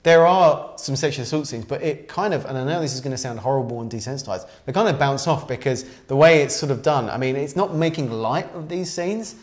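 A man's voice: medium pitch, brisk, few pauses. A man in his thirties co-hosting a casual film discussion, rambling with many restarts. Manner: rambling, restarts often, gestures while talking. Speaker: co-host. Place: small studio with poster wall.

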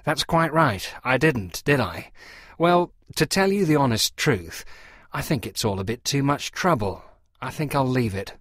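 A man's voice: medium pitch, lively intonation, a little slow, fairly bright tone, no echo, studio quality. A man voicing a sly, over-polite middle-aged dealer posing as a fussy parson in a storybook reading. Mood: genteel, feigned indifference. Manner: over-polite, apologetic, feigning reluctance. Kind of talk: storybook character dialogue.

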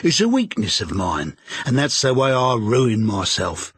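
A man voicing a sly old pawnbroker with a low, drawling, wheedling manner. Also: big pitch swings, a little slow, a bit bright, husky, heavily acted.

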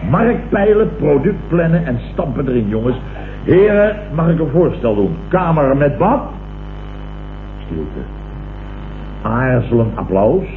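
Pompous boss, wildly animated. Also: male voice, medium pitch, measured pace, long pauses. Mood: smug, boastful. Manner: pompous, self-satisfied, repeats himself. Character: pompous, blustering male company boss, vain and excitable. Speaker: radio comedy actor. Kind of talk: 1960s Dutch radio comedy acting. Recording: muffled, hissy, echoey vintage radio recording.

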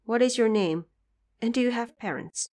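A woman voicing a grieving noble mother who speaks in a hushed, urgent way in an audio drama. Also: fairly high pitch, moderate pace, close to an everyday voice. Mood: hushed, anxious.

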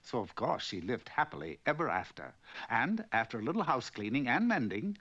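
A man tells a storybook tale for a cartoon with droll, wry timing. His mid-pitched voice moves expressively up and down. The recording has the dulled sound of an old film. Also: sing-song, a little fast, fairly bright tone, lo-fi sound. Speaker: narrator.

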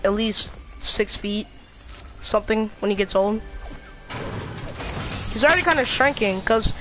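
A young boy chatting excitably and rambling while playing a video game with a friend. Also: high-pitched, unhurried, soft background music. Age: child. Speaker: gamer. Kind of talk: casual gaming chat. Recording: noisy gameplay audio behind.

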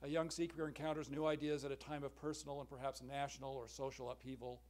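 A middle-aged man giving an academic conference talk from a podium in a large lecture hall, measured and earnest, clearing his throat now and then. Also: medium pitch, level tone, darkish tone. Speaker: symposium speaker. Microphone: podium microphone, far camera.

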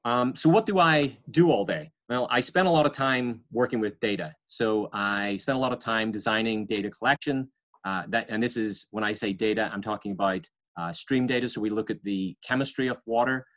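A man in his forties, an environmental scientist, explaining his career to students over a video call and often pausing with uhs and restarts. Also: fairly low pitch, a bit bright. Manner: hesitant, many uhs and restarts. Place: home or office on video call, virtual background. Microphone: webcam or laptop mic on video call.

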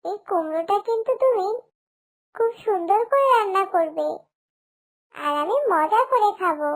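Very high-pitched excitable little girl. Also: measured pace. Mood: excited, happy. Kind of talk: cartoon voice acting.

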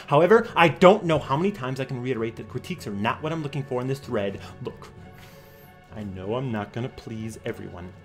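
A young man giving an over-the-top dramatic reading as a smug, defensive forum poster, theatrical and swinging between breathy whispers and shouting. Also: mid-range voice, voice pushed down, close to an everyday voice. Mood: condescending, exasperated. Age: young adult. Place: small room at home.

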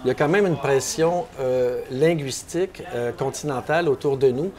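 A man's voice: mid-range voice, normal speed, darkish tone. A man in his fifties with a Québécois accent, a novelist guest on a book panel, talking thoughtfully with many restarts. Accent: Québécois French. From Quebec. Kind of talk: panel discussion. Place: bookstore, indoors.

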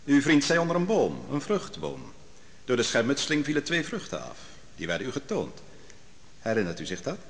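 An actor playing a calm, sharp young prophet who cross-examines witnesses in court in a radio drama. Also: male voice, medium pitch, big-room sound, slight background noise. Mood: probing. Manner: probing, measured. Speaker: interrogator in court. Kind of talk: vintage radio drama acting.

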